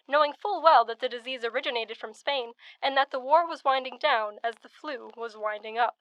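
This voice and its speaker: A woman's voice: sits high, a bit bright, no echo, rough recording. A woman playing a grave, dramatic old-time radio news correspondent, delivering scripted commentary in a solemn, oratorical way.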